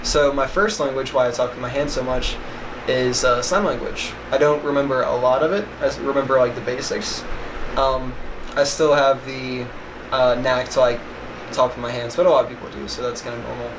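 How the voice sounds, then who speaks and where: A young man from West Virginia talks in an easygoing, rambling way, full of "like" and "uh", with no regional accent. His voice sits in a middle register. The home recording carries steady background noise. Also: medium pitch, normal speed. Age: young adult. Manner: rambling, filler-heavy, "like" often. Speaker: vlog co-host. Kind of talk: casual chat.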